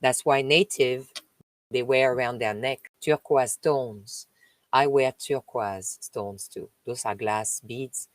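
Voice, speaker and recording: Slow French-accented woman reading aloud. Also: extremely low pitch, no room sound. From France. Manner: slow, emphatic reading broken by chatty asides. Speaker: spiritual live-stream host. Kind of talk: reading aloud with spoken commentary.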